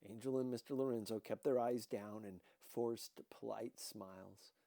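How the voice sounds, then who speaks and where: A man's voice: fairly low pitch, fairly even intonation, normal speed, vocal fry, dark tone, no echo, studio quality. A man reading a first-person novel aloud as scripted narration. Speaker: audiobook reader.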